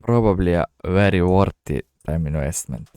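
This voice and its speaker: Deep, creaky-voiced man musing over a game. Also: lively intonation, dark tone, no echo, studio quality. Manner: low-key, halting, musing to himself. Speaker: gamer narrating own playthrough. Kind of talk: casual thinking-out-loud game commentary.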